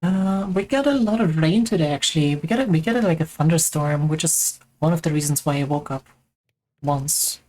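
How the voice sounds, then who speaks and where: Woman, German accent, low voice, chatty gaming streamer, rambling, self-mocking. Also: a little fast, a little mellow, a little hiss or hum, low-quality recording. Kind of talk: casual gaming chat.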